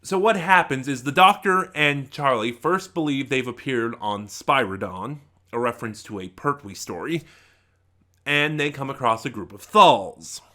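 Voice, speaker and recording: A man giving a casual, unscripted review to viewers, halting and rambling as he often trails off mid-thought. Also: mid-range voice, animated, pushed and bright. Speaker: YouTube reviewer.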